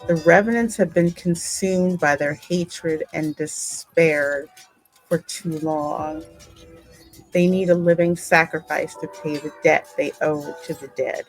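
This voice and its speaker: Female game host and narrator: deep register, measured pace, dramatic storytelling delivery with conversational asides. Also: fairly even intonation, soft background music, rough recording. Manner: deliberate, dramatic reading. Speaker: gaming channel host and narrator.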